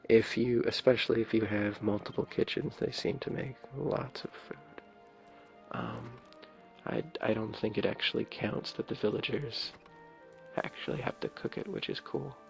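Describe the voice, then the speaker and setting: A man with a low raspy voice giving an ASMR gameplay tour as a video creator, talking softly in a hesitant, rambling way. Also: steady tone, normal speed. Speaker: video creator showing his game builds. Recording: dull lo-fi mic, clear background music. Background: game music.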